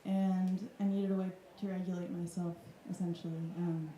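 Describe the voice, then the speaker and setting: Woman from wisconsin, thirties, warm dark voice, reflective, roomy club sound. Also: lowish voice, little pitch movement, moderate pace. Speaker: artist guest. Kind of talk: casual reflective talk. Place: club room with audience. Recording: echoey room.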